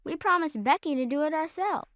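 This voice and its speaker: A woman's voice: sits high, a little fast, no room sound, studio quality. A woman voicing a loyal little boy in a video game, plain-spoken and stubbornly sincere. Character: loyal, guileless little boy, the other twin. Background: loud game music.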